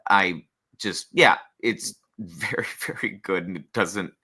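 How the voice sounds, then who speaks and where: A man's voice: low-pitched, slow pace, sharp tone, breathy edge. A man co-hosting a podcast, offering casual, opinionated commentary in a conversational way. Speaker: podcast co-host reviewing drag runway looks.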